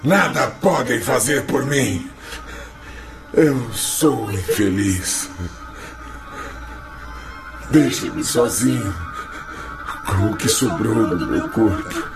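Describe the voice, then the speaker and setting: An actor in a radio drama playing the desperate, raging and pleading spirit of a suicide, anguished and wailing. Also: male voice, medium pitch, extremely slow, long pauses. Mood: anguished despair. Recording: loud music under the voice.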